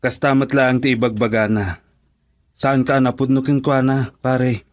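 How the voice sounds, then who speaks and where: A man's voice: mid-range voice, level tone, normal speed, natural voice. Man doing a blunt male friend, Ilocano accent, radio play, lecturing. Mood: firm. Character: frank male friend giving blunt advice. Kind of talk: radio drama acting.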